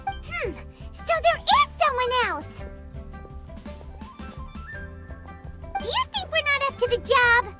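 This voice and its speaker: An excitable, childlike little fairy companion from a video game. The voice is piercingly high and squeaky, swooping with chirpy indignation. Bright game music plays loudly behind it. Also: female voice, moderate pace. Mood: indignant, chirpy. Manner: chirpy, refers to self in third person. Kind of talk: video game character acting.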